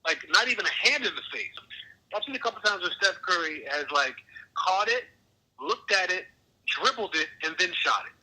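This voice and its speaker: A man's voice: high voice, animated, moderate pace, bright, forceful tone, poor audio. A young man on a casual podcast, talking sports in a rambling, emphatic way with casual swearing. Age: young adult. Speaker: podcast host.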